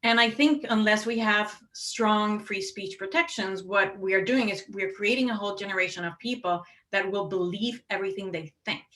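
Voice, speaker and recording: Bright-voiced woman panelist telling stories. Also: mid-range voice, moderate pace, few pauses. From Puerto Rico. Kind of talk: panel discussion, personal anecdote and argument.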